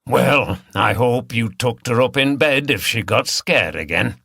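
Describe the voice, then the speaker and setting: A man's voice: mid-range voice, a little slow, creaky, sharp tone, breathy edge, no echo, clean studio sound, full character voice. A man voicing an easygoing, plain-spoken innkeeper who banters with staff and regulars in an audiobook. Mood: bantering. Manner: bantering, matter-of-fact.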